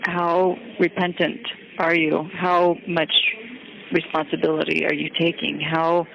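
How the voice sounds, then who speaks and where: A woman inmate talking haltingly and deliberately on a recorded phone call. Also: sits low, little pitch movement, gravelly, noisy background. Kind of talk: phone conversation. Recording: jail phone call with background chatter.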